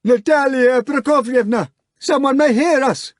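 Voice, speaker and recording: A man voicing a pompous, flustered middle-aged man turned into a flying hog, with hoarse, grunting, pleading protests. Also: very high-pitched, a little slow, no echo, studio quality, pitched above the performer's own voice. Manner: stiffly formal protests.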